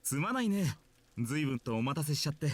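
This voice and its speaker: A man's voice: on the high side, very animated, rapid-fire. An actor playing a friendly, apologetic adult man with glasses in a visual novel. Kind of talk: Japanese visual novel voice acting.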